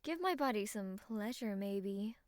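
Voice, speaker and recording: A woman's voice: medium pitch, lively intonation, unhurried, no echo, studio quality, close to an everyday voice. A woman playing a flirtatious, bossy fantasy adventurer in an audiobook. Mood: suggestive, teasing. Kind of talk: audiobook character acting.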